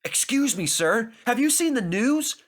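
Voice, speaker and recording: A man playing an excited, respectful station master, eager and deferential. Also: very high voice, measured pace, voice pushed up, full character voice.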